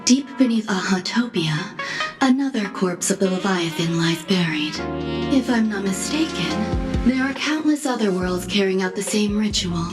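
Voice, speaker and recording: A woman playing a cerebral genius scientist in a game cutscene, speaking formally, with composed and deliberate phrasing. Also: medium pitch, animated, over music, close to an everyday voice. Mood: probing. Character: cool, cerebral female genius scientist, quietly probing. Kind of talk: dramatic video game cutscene acting.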